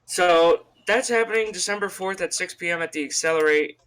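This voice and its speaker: A man's voice: sits high, fairly quick, fairly bright tone, clean tone, rough recording. A man co-hosting a wrestling podcast, giving casual, informal promotional patter and addressing listeners as folks. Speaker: podcast co-host. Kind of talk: casual sponsor read and banter on a wrestling podcast.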